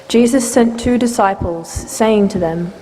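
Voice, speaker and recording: A woman narrates a scripture story in a formal reading style. Her pitch is middling for a woman. Long hall reverb surrounds the voice, along with a faint background noise. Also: measured pace, mellow. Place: large church, live reading.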